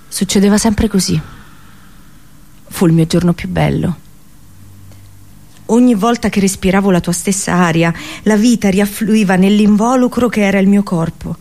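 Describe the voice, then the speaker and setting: An actress playing an anguished, passionate captive princess and seer in an intimate, poetic radio monologue. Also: female voice, medium pitch, gravelly, mellow. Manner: intimate, poetic, intense delivery.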